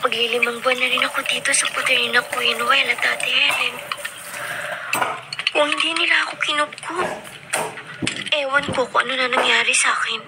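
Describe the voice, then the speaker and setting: An actress playing an anxious, dependent pregnant college student with a Filipino accent in a radio drama. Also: female voice, on the high side, heavy background noise, natural voice. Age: young adult. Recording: loud music under the voice.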